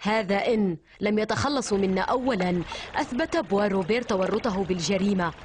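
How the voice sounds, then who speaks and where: Woman as a fast-talking, earnest young cartoon man. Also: mid-range voice, background noise, natural voice. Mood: urgent, wary. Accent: Modern Standard Arabic. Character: earnest, wary young male newspaper owner. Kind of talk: animated cartoon dub acting. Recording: background music under dialogue.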